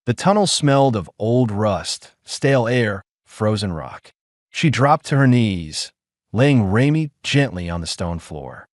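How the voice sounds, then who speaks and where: A man narrating a sci-fi audiobook in a dramatic, measured storytelling manner. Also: fairly low pitch, sing-song, no echo, studio quality. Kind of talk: scripted narration.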